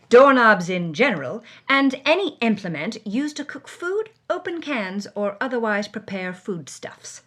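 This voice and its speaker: A woman's voice: medium pitch, a bit bright. An actress playing a hard-bitten, world-weary woman reading her journal with wry, dramatic emphasis, as first-person audio drama narration.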